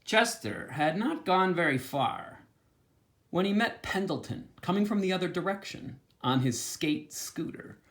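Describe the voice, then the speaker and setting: A pastor reading a children's book aloud, with expressive storytelling. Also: male voice, high voice, unhurried.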